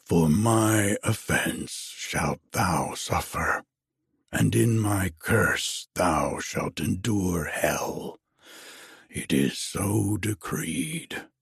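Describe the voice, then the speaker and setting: A man's voice: sits low, extremely slow, vocal fry, husky, dry recording, studio quality, heavily acted. A man voicing a grizzled, menacing old man in a storybook tale, commanding and ominous as he lays curses. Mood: grim decree.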